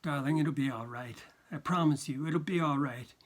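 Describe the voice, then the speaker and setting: A narrator voicing a timid, anxious woman who pleads and whines gently. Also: medium pitch, normal speed, close to an everyday voice. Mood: gentle, pleading. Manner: whining, hesitant, pleading.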